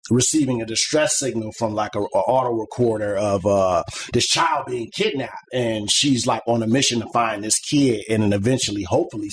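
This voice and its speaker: A man's voice: lowish voice, fairly bright tone, a little hiss or hum. A middle-aged man talking as a guest on a podcast, explaining his ideas in a loose, rambling way full of "like" and "you know". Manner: rambling and conversational, many "like" and "you know" fillers. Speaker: podcast guest, comic artist. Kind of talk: casual interview chat.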